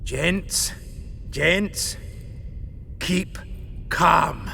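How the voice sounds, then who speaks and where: A blunt, coin-minded hired guard speaks very slowly in a high, heavily gravelly voice. A long echo hangs on every word. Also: male voice, sharp tone, a little hiss or hum, heavily acted. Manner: blunt, matter-of-fact. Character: gruff, mercenary male hired guard.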